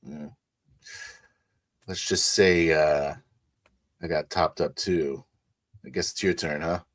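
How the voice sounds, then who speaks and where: A man improvising his character's actions and lines in a tabletop roleplay, piecing phrases together haltingly. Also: rumbling low, fairly even intonation, a bit bright. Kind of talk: improvised roleplay narration and dialogue.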